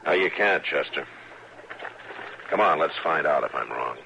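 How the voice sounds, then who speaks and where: A man playing a calm, shrewd, commanding Western marshal with terse, measured, dry delivery in an old radio drama. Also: a bass voice, creaky, full character voice. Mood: grim, measured. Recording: noisy, dull old radio recording.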